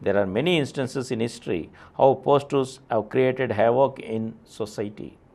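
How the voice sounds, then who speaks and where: A man with an Indian accent reads a scripted essay in a formal, declamatory way, with deliberate phrasing and emphatic openings that fall away at the ends. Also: medium pitch. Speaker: reader presenting an article.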